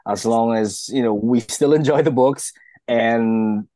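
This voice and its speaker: A man with a slightly croaky voice, guest hosting a podcast in an upbeat, chatty way. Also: mid-range voice, measured pace, warm tone, no room sound. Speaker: guest host, author. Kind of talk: casual podcast chat.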